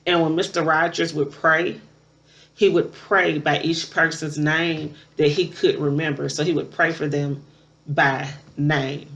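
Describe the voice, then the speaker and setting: A woman's voice: low-pitched, little pitch movement, a little slow, a bit bright. Casual, emphatic woman in her late thirties. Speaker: vlogger reviewing a movie. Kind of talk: casual unscripted chat to camera.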